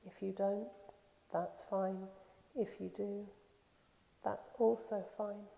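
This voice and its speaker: A woman leading a guided meditation in a soothing, gentle, unhurried manner with pauses. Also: medium pitch, steady tone, gravelly. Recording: echoey long reverb, slightly dull sound.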